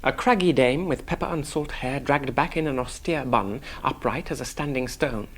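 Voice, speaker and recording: An actor playing a wry, eloquent young English gentleman hero, acting and narrating in a seventies radio drama. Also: medium pitch, normal speed, close to an everyday voice. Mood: observant. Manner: wry, articulate, animated.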